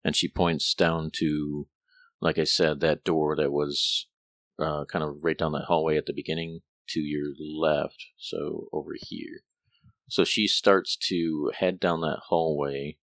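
A man's voice: extremely low pitch, little pitch movement, unhurried, a bit bright, no echo, studio quality. A man narrating as game master in a casual, rambling way, full of fillers and restarts. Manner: rambling, hesitant with many fillers.

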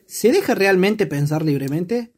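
A man's voice: high voice, expressive, a little slow. A man with an Argentine accent explaining his views in a casual discussion, reflective and asking rhetorical questions. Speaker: discussion participant.

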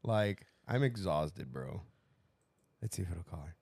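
A man's voice: deep voice, lively intonation, unhurried, vocal fry, darkish tone, slightly rough. A man co-hosting a podcast in casual chat, congested from a sinus infection and swearing freely. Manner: profane, laid-back. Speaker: podcast co-host.

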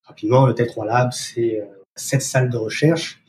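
A man's voice: medium pitch, steady tone, normal speed, a little mellow, a little hiss or hum. A man from France with a French accent, answering interview questions in a hesitant way with many fillers. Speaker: research operations manager.

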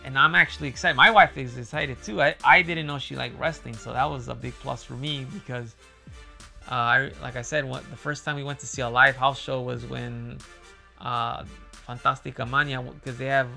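A man with a loud, booming voice that is gravelly and bright-edged. He rambles in a casual monologue, circling back with fillers and restarts. Faint guitar music plays behind him. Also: steady tone, normal speed. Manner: rambling, repeats himself, many fillers and restarts. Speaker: wrestling fan commentator.

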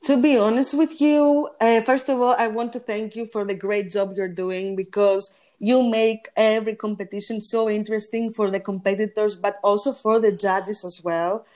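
A woman with a deep, gritty voice, a singing competition judge talking in a casual interview and pausing often on fillers. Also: fairly even intonation, a little mellow. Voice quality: deep voice with grit. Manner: hesitant, pauses with fillers.

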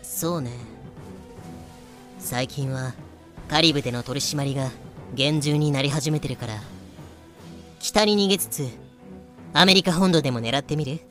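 A woman's voice: sits low, normal speed, long pauses, soft background music, close to an everyday voice. Woman acting a cocky, breezy pirate captain lady. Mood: scheming. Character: flamboyant, cocky female pirate captain Blackbeard. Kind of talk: fan voice drama acting.

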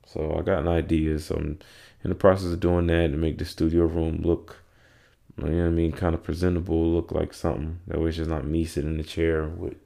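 Stuffed-up young guy podcasting solo, super low voice, rambly, lots of um and like. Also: vocal fry, dark tone. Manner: rambling, many fillers and restarts. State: congested, sore throat. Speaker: podcast host. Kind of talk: casual solo podcast chat.

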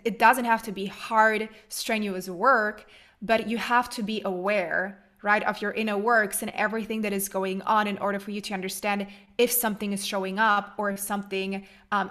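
A woman's voice: medium pitch, fairly bright tone. A woman from Germany hosting a podcast, talking earnestly and reflectively with many fillers. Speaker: podcast host. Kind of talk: conversational interview.

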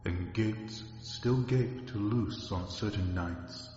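A man giving a grave, deliberate dramatic reading of classic horror fiction. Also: fairly low pitch, mellow. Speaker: story narrator. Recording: dull lo-fi track, faint music.

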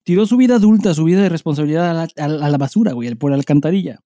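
A man's voice: fairly high pitch, expressive, very fast, mellow, no echo, clean studio sound. A man with a Mexican accent co-hosting a podcast in casual banter, wry, slangy and profane. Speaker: podcast co-host.

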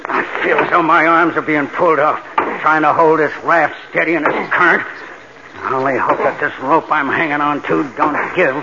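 Actor as assured New heist boss, muffled radio. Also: male voice, a little high, steady tone, normal speed, close to an everyday voice. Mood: straining. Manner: assured, instructing, tough-guy slangy. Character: Marty, confident scheming bank-job mastermind, tough crook. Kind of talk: 1940s radio crime drama acting. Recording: muffled old-time radio recording, background hiss.

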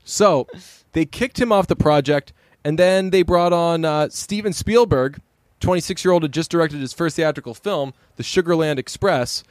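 A man's voice: fairly high pitch, moderate pace, darkish tone, dry recording. A man co-hosting a podcast, rambling in casual chat with lots of fillers. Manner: rambling, full of fillers, puts on silly voices in quotes. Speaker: podcast co-host.

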